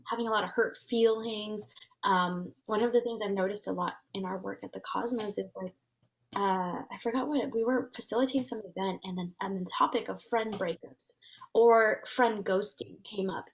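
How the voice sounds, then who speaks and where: Interview guest: woman in her 30s, Vietnamese native speaker, mid-pitched, earnest and searching, lots of restarts. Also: fairly even intonation, moderate pace, poor audio. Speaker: guest, transformation facilitator. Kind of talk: casual reflective interview talk.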